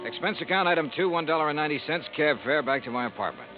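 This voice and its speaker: A man plays a cool, skeptical private investigator from a vintage radio serial. He rattles along quickly in clipped, wry phrases at a medium pitch. The sound is narrow and aged, with music behind him. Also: lightly acted. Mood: dry, world-weary. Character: wry, hard-boiled male freelance insurance investigator, coolly skeptical. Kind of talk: 1950s radio detective narration and dialogue.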